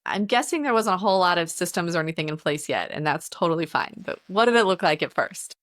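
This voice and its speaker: A woman's voice: on the low side, lively intonation, quick pace, a bit bright. A woman hosting a podcast, bubbly and effusive as she welcomes and questions her guest. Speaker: podcast host.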